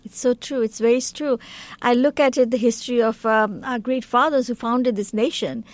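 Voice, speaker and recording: A woman's voice: on the high side, fairly even intonation, normal speed, dry recording. A woman from Bangladesh with a Bangladeshi accent, a guest on a talk show, speaking earnestly and at length with loose grammar and many fillers. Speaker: guest, community council vice president. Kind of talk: interview.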